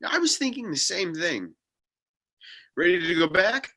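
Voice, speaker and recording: Bright, exasperated reluctant sidekick guy, acted out. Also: medium pitch, big pitch swings, measured pace, exaggerated voice. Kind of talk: script table read.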